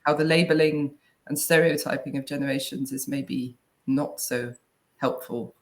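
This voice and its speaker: A woman's voice: rumbling low, fairly even intonation, moderate pace. A woman in her thirties co-hosting a live online work talk over a video call, casual and chatty with frequent tag questions. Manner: casual, uses tag "right?" often. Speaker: head of client accounts, co-host. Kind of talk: webinar conversation.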